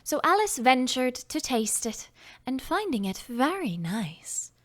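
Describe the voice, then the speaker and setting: A woman reading a children's classic aloud as an audiobook, gentle and expressive, sometimes dropping to a whisper. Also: high voice, unhurried. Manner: gentle, expressive storytelling, drops to whispers at times. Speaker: audiobook narrator. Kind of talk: audiobook narration.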